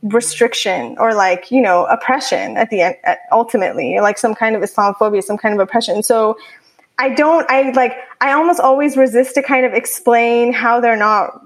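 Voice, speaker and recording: A young woman speaks in a slightly high voice, thinking aloud in a halting way. She circles back through fillers and restarts as she talks casually. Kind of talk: casual discussion.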